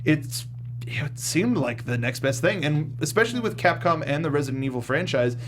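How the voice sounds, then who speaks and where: A man with a fairly high voice hosts a casual film discussion. He talks informally and with emphasis, sometimes mildly swearing. There is some faint noise behind him. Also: lively intonation, normal speed. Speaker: show host.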